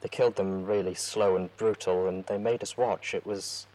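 A man voicing a worried young mare writing to a friend, read in a soft, hushed voice. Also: low-pitched, fairly even intonation, normal speed, natural voice, low-quality recording. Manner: soft, hushed letter reading.

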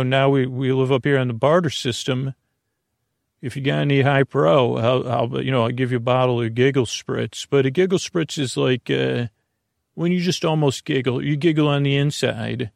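Creaky-voiced man rambling at bedtime. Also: mid-range voice, no room sound, studio quality. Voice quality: creaky, lulling. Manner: meandering, tangential, halting, self-deprecating nonsense. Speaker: podcast host. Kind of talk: rambling bedtime monologue to help listeners sleep.

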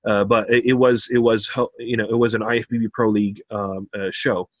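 A man's voice: lowish voice, brisk, dry recording. American-sounding guy, bodybuilding coach, laid back, laughs a lot, dry sound. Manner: relaxed, laughs often, repeats words. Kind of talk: casual podcast interview.

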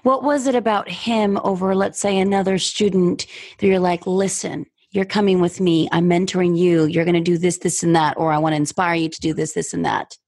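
Woman interviewer with a slightly low voice, affirming and probing. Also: fairly even intonation, brisk, hardly any pauses. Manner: warm, affirming, probing questions. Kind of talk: interview conversation.

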